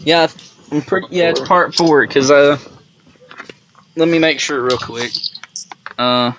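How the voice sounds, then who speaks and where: A man co-hosting a storytelling series, casually improvising as he goes, on a slightly muffled low-fi microphone. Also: takes it slowly, background noise.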